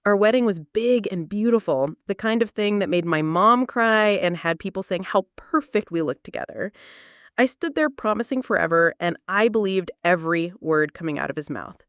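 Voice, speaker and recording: Animated woman narrating a confession. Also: medium pitch, moderate pace, dry recording, studio quality. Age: late 20s. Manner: confessional, lively storytelling. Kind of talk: first-person storytelling narration.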